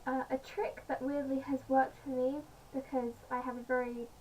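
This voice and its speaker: A woman with a slightly croaky voice, chatting casually in a home vlog with many pauses and fillers. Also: high-pitched, level tone, darkish tone. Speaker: vlogger. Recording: distant mic, faint background noise.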